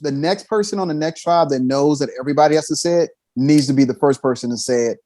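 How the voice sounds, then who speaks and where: Brisk, blunt man in a podcast chat. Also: fairly high pitch, dark tone.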